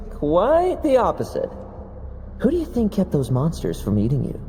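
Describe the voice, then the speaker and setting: A man's voice: high voice, wildly swooping pitch, unhurried, warm tone, slight background noise, heavily acted. Sly, lilting young man, reverberant. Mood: smug, knowing. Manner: smug, theatrical, taunting. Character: sly, teasing young man with a cold ambition. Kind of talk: dramatic video game voice acting. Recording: long hall reverb, music underneath.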